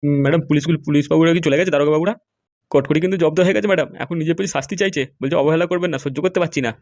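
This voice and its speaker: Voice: mid-range voice, very fast, a little mellow, no echo, lightly acted. A performer voicing a fawning, gossipy sidekick in a cartoon comedy, eager and deferential. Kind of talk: amateur cartoon comedy dialogue.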